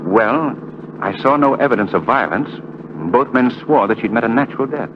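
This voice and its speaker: An actor playing an assured gentleman detective, measured and authoritative, in 1940s radio drama style. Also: medium pitch, natural voice. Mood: thoughtful. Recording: muffled, noisy 1940 radio recording.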